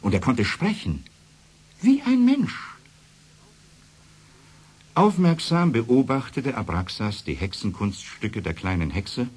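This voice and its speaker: A man narrating a children's fairy tale as an unhurried, expressive storyteller with a husky voice. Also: medium pitch, full character voice. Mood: storytelling.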